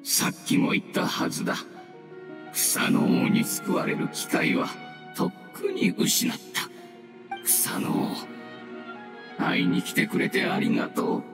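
An actor playing an aged, dying mushroom spirit in a game, solemn and humble, with grave, halting, old-fashioned elder speech. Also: male voice, high-pitched, huge pitch swings, vocal fry, full character voice. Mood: gentle, grateful. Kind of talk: scripted game dialogue. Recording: roomy echo, distant, under loud music.

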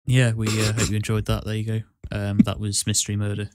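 A man's voice: lowish voice, completely flat delivery, quick pace, a little mellow, no room sound. A man hosting a podcast in a casual, chatty style. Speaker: podcast host. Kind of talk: casual chat.

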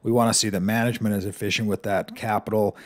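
A man's voice: fairly low pitch, little pitch movement, fast, no room sound. A man hosting a stock analysis lesson, talking casually through charts on screen, with frequent fillers and restarts.

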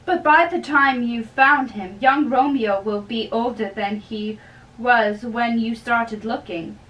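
A young woman giving a theatrical, deliberate dramatic reading of play dialogue. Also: fairly high pitch, a bit bright, highs cut off. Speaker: amateur reader of a play. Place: small bedroom, indoors. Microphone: camera a few metres away. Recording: distant camera in a bedroom.

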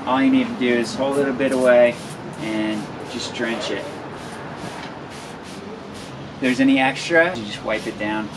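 Man explaining casually over clear background noise. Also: medium pitch, lively intonation, normal speed. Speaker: outdoor vlogger. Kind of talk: casual how-to explanation to camera. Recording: clear ambient noise behind voice.

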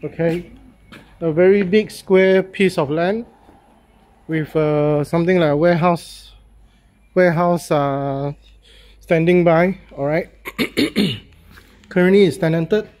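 A man, a real estate agent walking through a property and talking casually, halting and repeating himself with many fillers as he goes. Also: a little high, steady tone, darkish tone. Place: walking through a house and yard, in and out of doors.

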